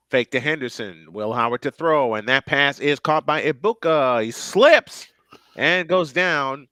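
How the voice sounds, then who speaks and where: Male play-by-play caller, pitch jumps on big plays, repeats himself; dry, close sound. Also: sharp tone, no room sound. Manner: rises sharply in pitch and volume on big plays, repeats phrases. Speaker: play-by-play announcer. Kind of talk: live football play-by-play commentary.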